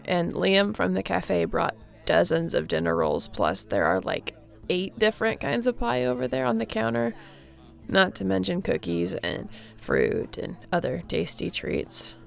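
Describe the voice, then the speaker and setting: A woman playing a friendly, easygoing young surfer girl in an audio roleplay, chatting casually and welcomingly with a creaky voice and laid-back slang. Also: fairly low pitch, wildly swooping pitch, a little slow, exaggerated voice. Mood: cheerful, hospitable.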